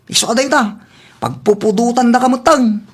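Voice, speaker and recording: A woman's voice: fairly high pitch, normal speed, slightly rough. A woman playing a nagging, advice-giving acquaintance in a comedy drama, speaking with an Ilocano accent. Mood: insistent advice.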